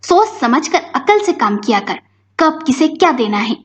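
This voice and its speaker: A woman's voice: high voice, sing-song, measured pace. A woman voicing a stingy, domineering older mother-in-law in a family story, scolding and bossy. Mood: angry, scornful. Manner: scolding, commanding. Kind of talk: dramatized Hindi family storytelling. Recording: dull lo-fi audio with music behind.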